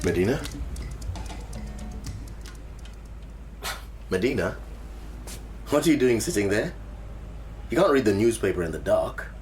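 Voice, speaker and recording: A man playing an anguished middle-aged father searching for his son, earnest and halting when upset, in a naturalistic radio drama. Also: on the low side, very animated, darkish tone, soft background music. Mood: exasperated. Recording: noisy ambient radio drama soundscape.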